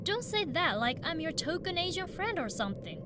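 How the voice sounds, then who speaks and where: High-voiced, vain, skittish schoolgirl voiced by a woman. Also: very animated, fairly quick, noisy background. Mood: haughty. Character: vain, blunt, skittish female foreign exchange student. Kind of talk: visual novel voice acting.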